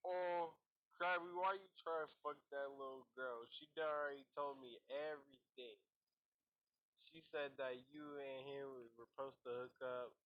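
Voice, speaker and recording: A man's voice: mid-range voice, steady tone, normal speed. A man talking casual, profane trash in a voice chat, confrontational in manner. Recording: tinny phone-like walkie-talkie app audio.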